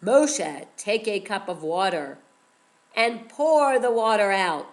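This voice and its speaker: A woman voicing a powerful male divine voice speaking from a burning bush, slow, loud and commanding. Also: mid-range voice, lively intonation.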